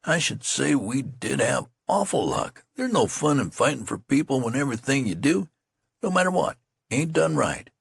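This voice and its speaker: A sore, grumbling young soldier with a country drawl , put on by a male reader, his voice pushed higher than a gravelly, husky base and leaping around a lot. It sounds dry and close, like a storybook read in a dead room. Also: very animated, normal speed, no room sound, full character voice. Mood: aggrieved. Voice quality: rustic folksy dialect.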